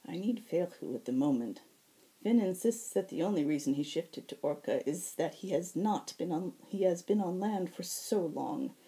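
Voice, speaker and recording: A woman voicing a weary, long-suffering scaly male fae caretaker, soft-spoken and formal, in an audiobook story. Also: fairly low pitch, lively intonation, normal speed, pitched above the performer's own voice, poor audio. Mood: exasperated. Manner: polite, formal phrasing.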